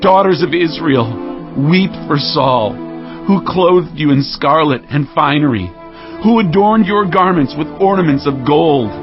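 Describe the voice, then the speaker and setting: Male actor as solemn devout king, fairly high voice, measured declamation, audio drama, music underneath. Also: lively intonation, dull audio. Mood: grieving.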